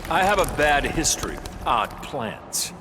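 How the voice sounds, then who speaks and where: An earnest superhero in a fighting game. His voice is a little high and expressive, with firm confidence. Battle ambience is clearly heard around him. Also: unhurried. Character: earnest, self-assured male superhero. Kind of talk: video game fight intro dialogue. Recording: battle ambience noise behind.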